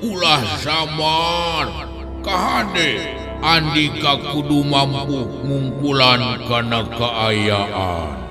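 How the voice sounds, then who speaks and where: Male storyteller as ancient protective spirit, solemn, drawn-out words, extremely unhurried, music behind, audio drama. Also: medium pitch. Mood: solemn, warning.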